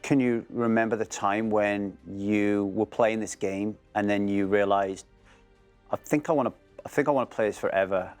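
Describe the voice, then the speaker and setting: A man's voice: lowish voice, steady tone, normal speed, a little mellow, faint music behind. A middle-aged man from England interviewing a guest, chatty and rambling, mixing in his own stories. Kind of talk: casual interview.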